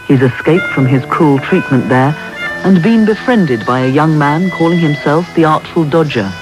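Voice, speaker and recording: A man's voice: a little high, normal speed, low-quality recording. A man reading scripted narration for a radio drama. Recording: medium-wave radio broadcast taped off air. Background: music.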